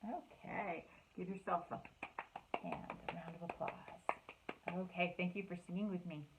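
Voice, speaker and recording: A woman hosting a children's storytime, reading aloud and chatting in an animated, encouraging way with simple words for young listeners. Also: lowish voice, moderate pace, background noise. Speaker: children's librarian and storytime host.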